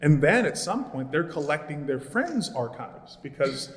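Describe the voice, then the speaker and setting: A man on a panel talk speaking earnestly about archives, pausing often to find his words. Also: a little high, sing-song, slight background noise. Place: gallery event space. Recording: echoey gallery room with long reverb.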